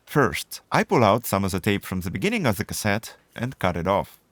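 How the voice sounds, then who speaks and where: A man's voice: fairly low pitch, very animated, a little fast, a bit bright, dry recording, studio quality. A man narrating a scripted do-it-yourself tutorial, explaining each step methodically. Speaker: video host explaining a DIY procedure.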